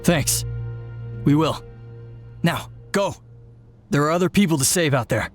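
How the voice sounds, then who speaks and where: Game warrior, male: dutiful, weary, grave, slightly high voice over soft music. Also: animated, measured pace. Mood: grateful, solemn. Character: weary, despairing, duty-bound young warrior, sole survivor. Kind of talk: video game voice acting.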